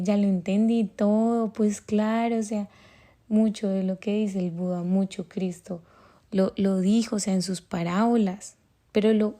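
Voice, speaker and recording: A woman's voice: medium pitch, warm tone. A young Colombian woman with a Colombian accent, hosting a podcast and telling a personal story in a rambling, casual way with many fillers. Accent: Colombian Spanish. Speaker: podcast host.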